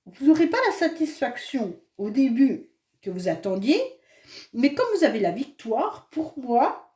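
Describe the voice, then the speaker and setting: A woman's voice: on the high side, very animated, measured pace, darkish tone, roomy sound. A French-accented woman with a head cold giving a chatty, reassuring monthly tarot reading, full of hesitations and fillers. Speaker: tarot card reader. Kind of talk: monthly astrology card reading.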